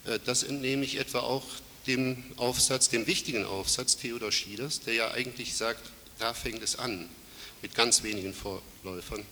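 A man's voice: mid-range voice, steady tone, bright, forceful tone. Man with a cold lecturing in a hall. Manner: formal, measured, with frequent fillers and self-corrections. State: has a cold. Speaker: conference speaker. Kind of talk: academic lecture. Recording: hissy reverberant hall recording.

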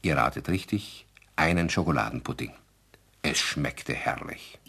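A man playing a genial storyteller, narrating a children's radio play in a playful, expressive way. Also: very deep voice, gravelly, breathy edge, heavily acted. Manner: addresses listeners playfully, measured and expressive.

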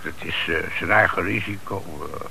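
A man's voice: sits low, moderate pace, vocal fry, sharp tone, full character voice. An actor in a Dutch radio play playing a shifty small-time crook who deals in cars, speaking hesitant, slangy street talk and hedging his answers. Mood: evasive. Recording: noisy old radio play recording.

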